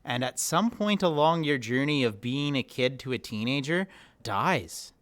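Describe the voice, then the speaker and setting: A man's voice: medium pitch, animated, a little slow, a bit bright, dry recording. A man hosting an education podcast interview, enthusiastic and affirming toward his guest. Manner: enthusiastic, affirming, uses "razzle dazzle". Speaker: podcast host.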